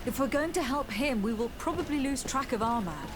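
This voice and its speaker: A woman's voice: high-pitched, animated, a little fast, over music. An actress playing a composed, resolute noblewoman in a video game, speaking formally and with assurance. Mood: composed, determined.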